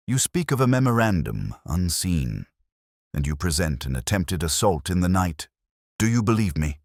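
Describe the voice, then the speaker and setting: A man acts out a brusque, imperious detective genius from an audiobook mystery. He speaks in terse, formal pronouncements with a pompous edge, in a very deep register that swings widely in pitch. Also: big pitch swings, normal speed, no echo, studio quality, exaggerated voice. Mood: skeptical.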